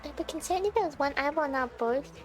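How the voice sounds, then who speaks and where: A woman's voice: high-pitched, lively intonation, fast talker, soft background music. A woman live streamer chatting casually with her viewers as she plays a game, in a playful, giggly, teasing way.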